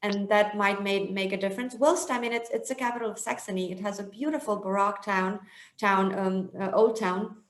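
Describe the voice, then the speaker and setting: A woman hosting a webinar, halting through her thoughts with frequent um fillers. Her voice is mid-pitched. The video-call sound carries a noticeable roomy echo. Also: fairly even intonation. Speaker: webinar host.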